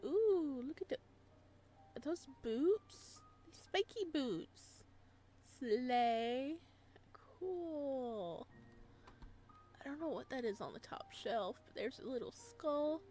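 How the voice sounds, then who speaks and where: A woman's voice: high voice, expressive, takes it slowly, mellow, faint music behind. A woman hosting a gaming video, reading a visual novel aloud and adding casual, slangy asides. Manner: informal, slangy, reacts in asides.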